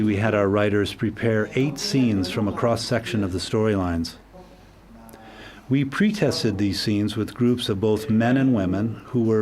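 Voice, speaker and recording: Low-voiced man explaining over loud music. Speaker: radio drama project lead explaining the production. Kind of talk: documentary interview explanation. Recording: roomy echo with loud music behind. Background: music with guitar.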